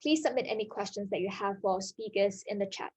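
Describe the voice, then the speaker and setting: A woman hosts an online panel at a middling pitch. She reads the announcements and introduces each speaker politely and formally. Her delivery is steady and scripted. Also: quick pace, slightly rough. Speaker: panel moderator. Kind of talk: scripted event moderation.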